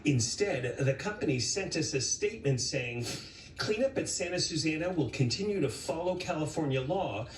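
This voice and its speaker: A male TV news reporter reads a scripted investigative story with deliberate emphasis. His voice sits a little high. The recording is very roomy, with faint noise behind it. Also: lively intonation, recorded from a distance.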